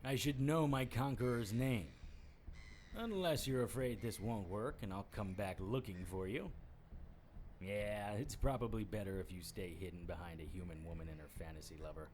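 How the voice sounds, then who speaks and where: A man's voice: on the low side, moderate pace, darkish tone, slight background noise, lightly acted. A man playing a wisecracking, defiant private investigator in an audio drama, with a cocky, sardonic delivery. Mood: defiant taunting. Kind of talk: audio drama acting with first-person noir narration.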